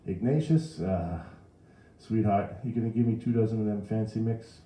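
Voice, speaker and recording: A man's voice: on the low side, moderate pace, mellow, pitched above the performer's own voice, natural voice. A man voicing a chatty, complaining older working-class mother with a folksy New Orleans accent. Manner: folksy, familiar. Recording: roomy echo.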